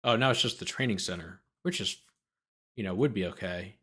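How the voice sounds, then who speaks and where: A man's voice: lowish voice, a little fast, bright, forceful tone, slightly rough. A man hosting a legal commentary show, reading a document aloud and breaking off for sardonic off-the-cuff asides. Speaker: host, lawyer commentator.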